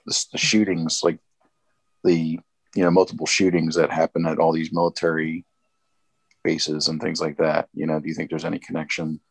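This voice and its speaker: A man with a very deep voice speaks in a casual group discussion. He is hesitant and lets his thoughts trail off. The recording is dry. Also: monotone, normal speed, vocal fry, breathy edge, dry recording. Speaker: discussion participant.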